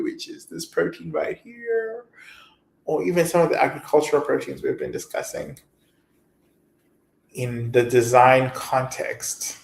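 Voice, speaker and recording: A middle-aged man chatting casually as a livestream host, animated, encouraging and quick to exclaim, on a video call. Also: a little high, gravelly. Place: indoor room, video call. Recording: echoey room on a video call.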